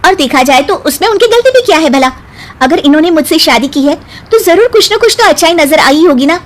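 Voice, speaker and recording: A cheeky short young bride. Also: female voice, high-pitched, expressive. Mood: firm. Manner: cheeky, quick comebacks. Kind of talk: cartoon voice acting.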